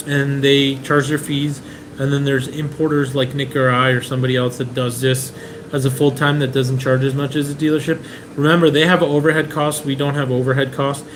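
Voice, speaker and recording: A man's voice: medium pitch, moderate pace, low-quality recording. A man from Venezuela hosting a live question-and-answer stream, chatting casually and reading out viewer comments with plenty of "um"s. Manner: relaxed, chatty, many "um"s and restarts. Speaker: car importer hosting a live Q&A. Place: indoors in a rented apartment. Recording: clear room noise and AC hum.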